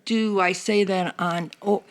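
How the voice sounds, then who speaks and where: A woman's voice: mid-range voice, fairly even intonation, measured pace, clear, resonant tone. An older woman chatting casually as a guest, announcing details of a church seniors group.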